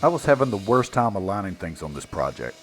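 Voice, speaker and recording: A man's voice: low voice, sing-song, quick pace, fairly bright tone, faint music behind. A man casually narrating his own build project in a plainspoken, folksy, self-deprecating way. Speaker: woodworking video maker and narrator.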